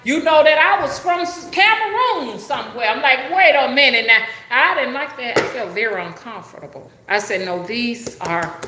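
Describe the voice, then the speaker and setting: A woman's voice: high-pitched, lively intonation, normal speed, few pauses, echoey room, background noise. A middle-aged woman from Alabama with a Southern accent, a rootworker chatting with viewers in a folksy, country way on a live stream. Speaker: rootworker and spiritual reader. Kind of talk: casual live-stream chat.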